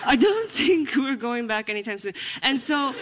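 A woman's voice: high voice, big pitch swings, noisy background. Playful, rambling woman from Japan. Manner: playful, rambling, quick laughs. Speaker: comedian guest. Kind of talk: casual interview chat.

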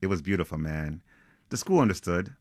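A man's voice: sits low, expressive, a little fast, dry recording, studio quality. A man reading a novel aloud, voicing a cocky young American jazz musician with slangy, playful, teasing talk. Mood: breezy teasing. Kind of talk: novel reading, acted dialogue.

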